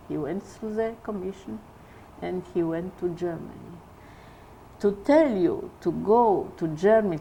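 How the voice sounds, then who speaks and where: An older woman, giving an oral history interview, halting and searching for English words. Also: medium pitch, mellow, slight background noise, poor audio.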